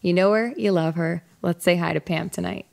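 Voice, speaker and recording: A woman's voice: on the low side, a little fast, vocal fry, darkish tone. Bubbly young woman hosting a live show. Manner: bubbly and upbeat. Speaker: host and singer. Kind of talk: live-streamed music chat show. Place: small rustic studio set with guitar. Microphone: studio mic on a stand.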